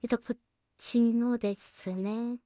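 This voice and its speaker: A woman with a slightly high voice talks slowly in a close, dry recording. She thinks aloud casually as she works, murmuring to herself in a hesitant way and adding polite asides for whoever is watching. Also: steady tone, warm tone, dry recording. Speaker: solo animation creator and streamer.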